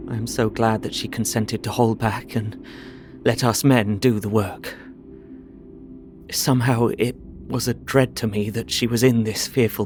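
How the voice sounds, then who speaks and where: An actor playing an earnest, devoted husband reading his journal aloud in a measured way for an audio drama. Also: mid-range voice, darkish tone, close to an everyday voice.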